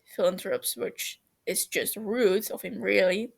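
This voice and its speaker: A woman narrating a story as an amateur reader, halting a little, with small stumbles and self-corrections. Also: mid-range voice, steady tone, vocal fry. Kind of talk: storybook-like narration of fan fiction.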